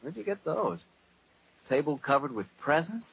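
Husky American old-time radio announcer man. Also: fairly high pitch, expressive, moderate pace, dry recording. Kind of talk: 1940s radio announcing, folksy scripted patter. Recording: muffled vintage 1940s radio broadcast.

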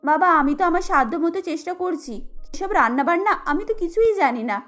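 A woman's voice: extremely high pitch, lively intonation, fairly quick, fairly bright tone, dull audio, soft background music. A woman voicing an earnest, anxious young daughter-in-law in a cartoon, pleading and polite. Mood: worried, pleading.

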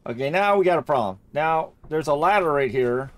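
Man, high voice, lively intonation, casual gameplay commentary, excitable, chatty, thinking aloud. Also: fairly quick. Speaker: gamer recording a play-through.

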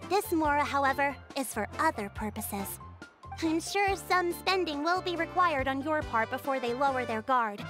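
Courteous female chief, high-pitched, poised, formal explanatory game dialogue over clear music. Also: animated. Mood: courteous, assured. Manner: formal, measured explanatory phrasing. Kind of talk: video game character acting.

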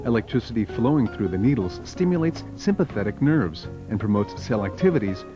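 Slow-paced male documentary narrator. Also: fairly low pitch, sing-song. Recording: dull lo-fi video soundtrack. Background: background music.

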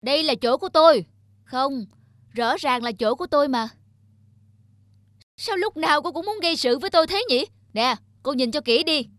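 Brusque, irritable young cartoon passenger. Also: male voice, extremely high pitch, lively intonation, normal speed, long pauses, a bit bright, no echo, studio quality. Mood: irritated, arguing. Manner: brusque, argumentative. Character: irritable, self-important young male train passenger. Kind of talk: cartoon character acting.

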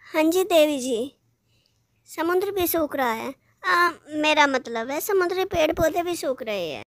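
A woman's voice: high voice, animated, unhurried, dry recording, clean studio sound, voice pushed up. A woman voicing a kind, earnest young mermaid in a fairy tale. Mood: worried.

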